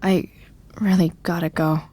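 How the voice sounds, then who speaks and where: A sullen teenage daughter in a dramatic video game. Her voice sits a little low. She speaks slowly and guardedly, with an evasive, sardonic edge. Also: flat delivery, mellow, dry recording. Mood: evasive, impatient. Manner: hesitant, sardonic. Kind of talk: dramatic game cutscene acting.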